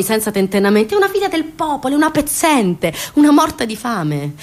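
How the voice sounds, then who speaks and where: An actress performing an impassioned theatre monologue as a bitter, indignant storyteller, sarcastic and dramatic, swinging between hushed and loud. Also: female voice, a little high. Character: bitter, indignant woman storyteller recounting an injustice.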